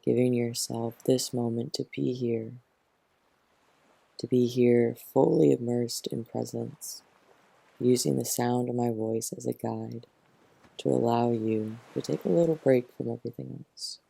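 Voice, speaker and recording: A man who teaches yoga nidra, gently guiding a relaxation meditation in a soothing, unhurried manner, close to the mic. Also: medium pitch, fairly even intonation, gravelly, slightly rough, dry recording, rough recording.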